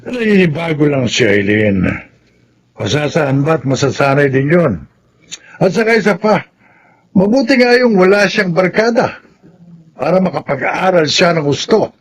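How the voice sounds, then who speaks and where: A man's voice: fairly high pitch, expressive, moderate pace, pauses often, breathy edge. A man playing a stern, controlling older patriarch in a Filipino radio drama, authoritative and insistent, with a Filipino accent. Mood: firm, dismissive.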